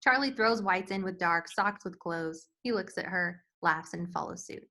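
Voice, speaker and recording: Bright, forceful woman narrating a script. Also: lowish voice, moderate pace. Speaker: stage directions reader.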